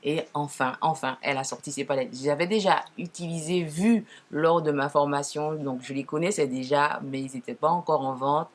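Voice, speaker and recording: A woman with a naturally deep voice, lowered further by a cold, chats casually to viewers as she presents makeup, often correcting herself. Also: fairly even intonation, normal speed, few pauses. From Martinique. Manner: informal, frequent self-corrections. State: deeper, hoarse-sounding from a cold. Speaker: makeup tutorial host.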